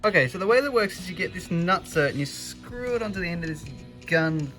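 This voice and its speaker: A man's voice: sits high, lively intonation, fairly quick, fairly bright tone, faint music behind. A man in his thirties with an Australian accent, casually talking through a do-it-yourself car radio install in an offhand, self-deprecating way. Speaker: electrician vlogger. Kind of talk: casual DIY vlog.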